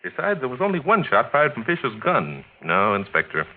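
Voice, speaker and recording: An actor playing a suave, amused amateur detective in 1940s radio mystery style, teasing and coolly in command. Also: male voice, mid-range voice, wildly swooping pitch, fairly quick. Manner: teasing, chuckling, coolly authoritative. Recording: tinny, noisy 1940s radio recording.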